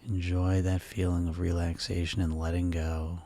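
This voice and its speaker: Male hypnosis guide: very low, dark and relaxed tone, soothing and hushed, gently instructing a listener toward sleep. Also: normal speed.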